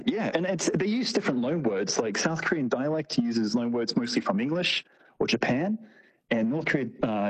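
Casual man with a slightly husky voice. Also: mid-range voice, rough recording. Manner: informal, slangy, acts out short quotes. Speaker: interview guest, tour operator. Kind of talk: casual conversational anecdotes and explanation.

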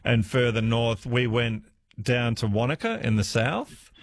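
A man hosting a talkback radio quiz, chatty and animated, laughing easily with callers. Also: mid-range voice, unhurried, dry recording, studio quality. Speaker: radio host.

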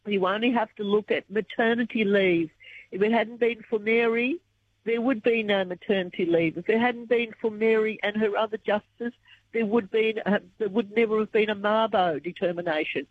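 A croaky woman from Australia speaks in a high voice, earnest and emotional as she answers questions. She comes through a thin, phone-like line with no room sound at all. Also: no room sound. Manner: earnest, emotional when recalling the past. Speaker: interviewee. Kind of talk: radio interview.

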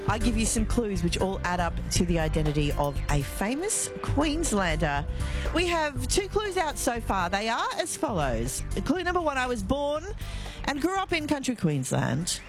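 A woman's voice: on the high side, sing-song, moderate pace, music in the background. Chatty Australian woman radio host. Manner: chatty, laughs easily, self-mocking. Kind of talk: live radio talkback. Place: outdoors outside a stadium, live broadcast. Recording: faint outdoor background noise. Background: faint ambient noise.

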